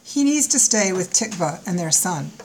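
A woman voicing an eager young geneticist and wife, earnest and gently pleading. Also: on the low side, sing-song, moderate pace, slight background noise, low-quality recording.

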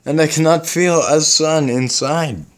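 A man's voice: on the high side, measured pace, a little hiss or hum, lightly acted. A man playing an uneasy, defensive primeval man in an audio drama, earnest and formal in his speech.

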